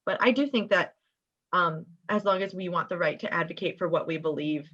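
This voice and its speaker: A young woman, a student guest, giving her opinion in an earnest, measured, carefully phrased way. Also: on the low side, a bit bright, dull audio. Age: young adult. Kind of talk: earnest discussion.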